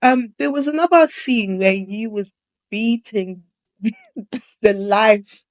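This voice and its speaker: A woman's voice: on the high side, slow, no echo. A young woman interviewing a guest, asking probing, teasing questions and murmuring agreement. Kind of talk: casual interview chat.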